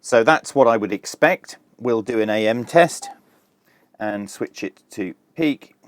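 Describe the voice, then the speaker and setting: A man's voice: fairly low pitch, a little slow, no room sound. A man presenting a casual hands-on product review, plain-spoken and methodical, with dry humour.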